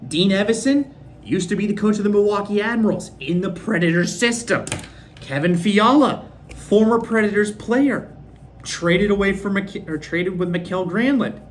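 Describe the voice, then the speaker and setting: A young man from Minnesota hosting a casual fan recap, rambling and excitable, his voice a bit worn from screaming. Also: sits high, normal speed, a little hiss or hum. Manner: rambling, excitable, lots of fillers. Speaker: fan podcast host. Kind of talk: casual solo recap of a hockey game.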